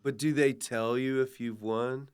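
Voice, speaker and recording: Middle-aged male host, deadpan quips. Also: mid-range voice, clean tone. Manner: quick deadpan jokes and interjections. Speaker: podcast host. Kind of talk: casual podcast chat.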